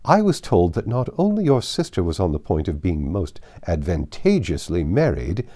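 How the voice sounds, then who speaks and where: A man voicing a haughty, domineering older aristocratic lady in an audiobook, with emphatic and deliberate delivery. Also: low voice, wildly swooping pitch, dark tone, full character voice. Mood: stern indignation. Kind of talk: audiobook character voice.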